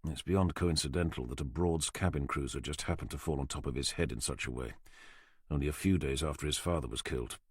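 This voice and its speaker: A man's voice: on the low side, animated, quick pace, fairly bright tone, no room sound, clean studio sound. A man playing a blunt, exasperated detective. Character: frustrated, blunt male detective.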